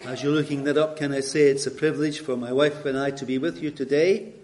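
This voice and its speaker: A retired Scottish pastor in his later years, guest preaching, reading scripture and leading prayer in a measured, earnest way. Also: mid-range voice, steady tone, a little mellow, a little hiss or hum. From Scotland. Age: older.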